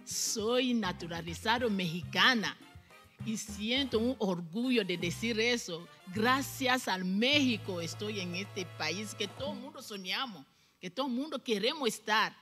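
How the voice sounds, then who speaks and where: A woman in her forties who grew up in Cuba, speaking, is a salon owner chatting as a guest on a studio talk show. She holds a handheld mic and often bursts into animated emphasis. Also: mid-range voice, measured pace, soft background music. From Congo, raised in Cuba. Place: TV studio set.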